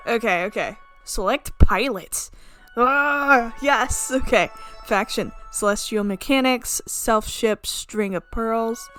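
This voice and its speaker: A woman streaming a playthrough, giggly and excitable, reading game text aloud and reacting casually as she goes. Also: medium pitch. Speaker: gaming streamer playing a visual novel.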